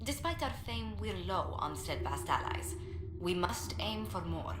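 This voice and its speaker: A woman's voice: lowish voice, sing-song, measured pace, echoey room, background noise. An actress playing a poised, courteous woman ambassador in a video game, speaking politely and formally. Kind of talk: video game character acting.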